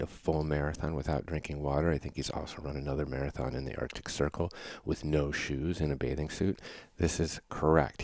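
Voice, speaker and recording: A man's voice: a bass voice, darkish tone, no echo. A man hosting a podcast, talking thoughtfully in a solo reflection and asking rhetorical questions. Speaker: podcast host.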